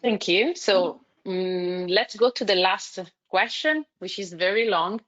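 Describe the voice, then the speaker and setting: A woman with a strong Italian accent moderating a webinar panel over a video call, friendly and quick to laugh. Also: medium pitch, animated, a bit bright. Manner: friendly, laughs easily, reads questions aloud. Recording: dull video call audio.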